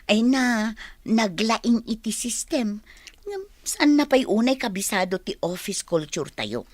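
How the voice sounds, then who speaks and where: Lively, teasing office best friend. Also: female voice, on the high side, measured pace, no echo. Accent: Filipino. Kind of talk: radio drama acting.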